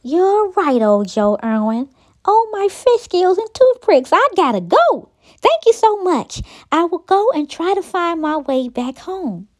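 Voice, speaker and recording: Woman as a high-voiced sweet little snake. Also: sing-song, slow pace, warm tone, exaggerated voice. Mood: grateful, excited. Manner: earnest, polite. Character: tiny sweet polite young female green snake.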